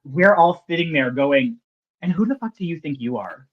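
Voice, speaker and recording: A man's voice: fairly high pitch, lively intonation, moderate pace, highs cut off. A man hosting a podcast, chatting casually with a dry, self-deprecating wit. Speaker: podcast host.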